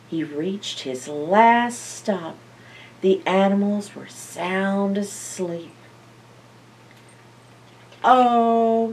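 A woman's voice: mid-range voice, a little hiss or hum. An older woman reads a picture book aloud to young children as a storyteller, expressively and with questions to her listeners. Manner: expressive, asks listeners questions, animated reactions. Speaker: library storyteller. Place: indoor library, seated by bookshelves. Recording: slightly distant microphone.

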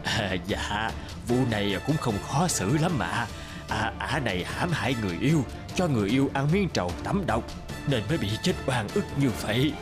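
A man's voice: mid-range voice, lively intonation, normal speed, music in the background, natural voice. A man playing an overbearing, hasty village magistrate in a cartoon folktale, blustering and accusing. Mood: nervous, justifying. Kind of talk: animated folktale acting.

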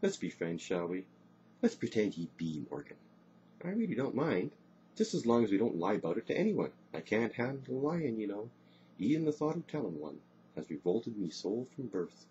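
A man's voice: mid-range voice, huge pitch swings, fairly quick, darkish tone, dull audio, a little hiss or hum, voice pushed up. A man voicing a bold, teasing young Celtic maid in archaic dialect for a storybook. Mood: frank, friendly.

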